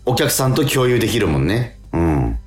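A man's voice: fairly low pitch, very animated, moderate pace, loud music behind the voice. A man co-hosting a casual radio chat, reacting often with short interjections and repeated words. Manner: reactive, frequent nodding interjections and repetitions. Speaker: radio show co-host.

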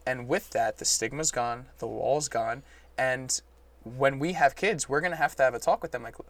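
A man's voice: medium pitch, normal speed. A young man whose first language is French, chatting casually as a podcast co-host in a loose, profane and emphatic way. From France and Italy. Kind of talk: casual chat.

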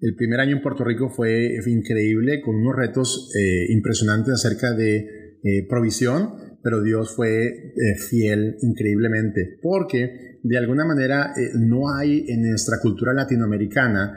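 A man's voice: medium pitch, moderate pace. Northern Mexican man chatting loosely. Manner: loose, rambling, with many restarts and fillers. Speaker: podcast co-host. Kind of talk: casual conversation.

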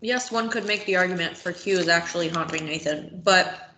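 A woman's voice: lowish voice, a little fast, sharp tone. A woman co-hosting a podcast, dropping casual, wry comments into a TV recap. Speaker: podcast co-host. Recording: roomy, faint background noise.

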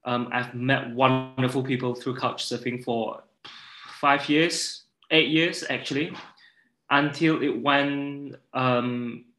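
A man in his thirties with a Malaysian accent talks casually as a guest. His voice is bright and sharp at a medium pitch, recorded in a noticeably echoey room. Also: fairly even intonation, takes it slowly. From Borneo, Malaysia. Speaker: podcast guest. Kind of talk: casual chat. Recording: echoey, roomy sound.

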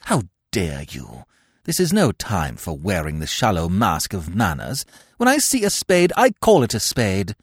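A man voicing a sharp-tongued young country girl who speaks with falsely sweet, barbed politeness. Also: medium pitch, huge pitch swings, normal speed, no echo, studio quality, pitched above the performer's own voice. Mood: indignant.

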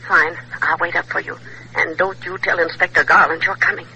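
An actress playing a secretive, insistent housekeeper, heard as a telephone voice in an old radio thriller. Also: female voice, on the low side, steady tone, brisk, creaky, over a noisy crowd, low-quality recording.

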